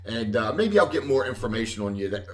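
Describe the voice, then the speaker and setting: A man hosting a casual livestream chat, rambling and correcting himself with frequent chuckles, with a loud voice. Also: medium pitch, very animated, quick pace, distant mic. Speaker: host. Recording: echoey room, voice from afar.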